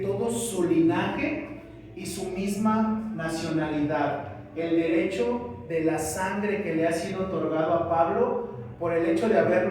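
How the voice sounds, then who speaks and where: A high-voiced man preaches at an unhurried pace, giving each phrase room. He is picked up from a distance in a very reverberant church, with faint ambient noise behind him. He explains scripture and now and then speaks in the apostle's voice. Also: darkish tone. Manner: expository preaching, voicing Paul's words in quotes. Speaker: pastor. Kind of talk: sermon. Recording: echoey church from a distant mic.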